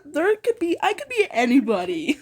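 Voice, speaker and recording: A woman's voice: high voice, huge pitch swings, moderate pace, a little mellow. A young woman reading fan fiction aloud casually, giggly and often breaking off into banter. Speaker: amateur fan fiction reader and co-host.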